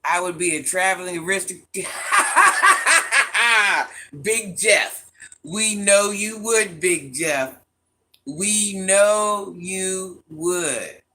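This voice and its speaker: Woman livestream host, sharp bright voice, teasing and chatty with her chat. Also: medium pitch, expressive, drawn-out words, a little hiss or hum. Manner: teasing, talks straight to viewers by name, laughs often; calls herself Granny. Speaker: livestream host reading viewer comments.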